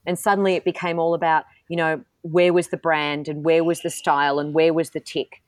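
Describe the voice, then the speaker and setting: A woman's voice: deep voice, level tone, fairly quick, dry recording. A middle-aged woman, a politician being interviewed, who talks frankly and with self-assurance, often restarting her sentences. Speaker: politician and guest. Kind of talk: candid interview answers.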